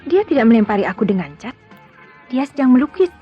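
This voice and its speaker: A woman's voice: a little high, big pitch swings, fast, mellow. An actress playing a guarded married woman doctor in an Indonesian radio drama, curt and deflecting. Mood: dry, retorting. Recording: dull lo-fi radio drama, music behind.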